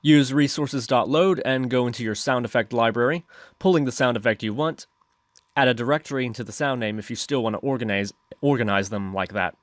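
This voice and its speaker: A man narrating an instructional screencast as the host of a software tutorial. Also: medium pitch, brisk, no room sound.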